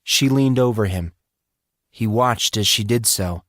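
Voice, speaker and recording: A male audiobook narrator with an intense, deliberate delivery. He reads dramatic fiction slowly in a dry, echo-free recording. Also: fairly low pitch, fairly bright tone.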